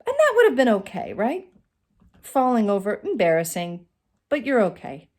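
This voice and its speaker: A middle-aged woman telling a personal comic story to camera in a theatrical, expressive way with dramatic pauses. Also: fairly high pitch. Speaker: storyteller, channel host. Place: indoors, small curtained room. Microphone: phone at arm's length.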